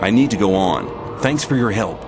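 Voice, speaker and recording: Man as a lively-toned, wry adventurer hero. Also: lowish voice, moderate pace, natural voice. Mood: curious. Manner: direct, inquisitive, dry. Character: plain-spoken male adventurer hero, wry and questioning. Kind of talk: video game character dialogue. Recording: loud music behind the voice. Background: game music.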